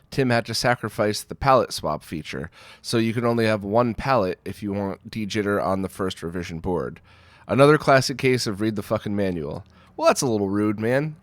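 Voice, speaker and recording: A man's voice: fairly low pitch, no room sound. A man with a cold and a nasal voice, hosting a casual retro gaming Q&A and rambling through answers with fillers. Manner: rambling, self-deprecating, with frequent fillers and restarts. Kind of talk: casual Q&A talk.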